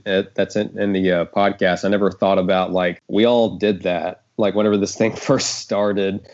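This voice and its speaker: A man's voice: on the low side, darkish tone. A man hosting a casual podcast discussion, talking in a rambling, hesitant way. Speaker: podcast host, writer. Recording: dull, lo-fi audio.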